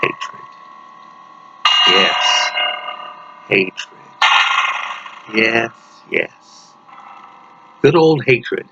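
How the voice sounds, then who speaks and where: A man reading a dark horror story aloud as its author, in a theatrical, dramatic delivery. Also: on the low side. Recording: noisy, dull lo-fi home recording.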